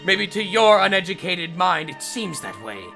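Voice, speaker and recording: A man's voice: sits high, sing-song, normal speed, vocal fry, bright, forceful tone, soft background music, exaggerated voice. A man voicing a pompous, vain middle-aged radio psychiatrist in a comic fandub, with haughty, theatrical, ornate diction. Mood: smug.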